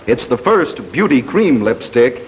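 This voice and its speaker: An American man hosting a live 1950s television game show, with announcements and sponsor pitches. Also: sing-song, a little slow. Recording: dull, hissy 1950s television broadcast.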